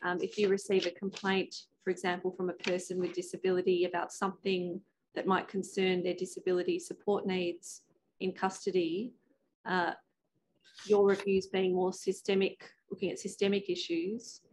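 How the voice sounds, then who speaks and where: A woman questioning a witness formally as counsel, with deliberate, careful phrasing. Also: fairly low pitch, flat delivery, a little mellow, slight background noise, low-quality recording. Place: indoor hearing room.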